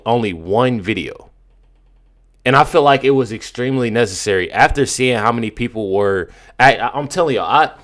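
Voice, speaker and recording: An American man doing casual political commentary as a video host, in an emphatic, slangy, halting way with many repeats. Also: mid-range voice.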